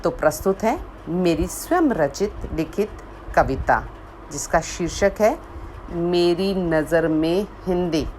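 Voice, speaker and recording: A woman reciting a poem she wrote herself, in a measured voice that repeats lines for emphasis, after a short spoken introduction. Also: fairly low pitch, animated, a little mellow, slight background noise, low-quality recording. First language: Hindi. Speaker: poet. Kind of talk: slow recited poetry.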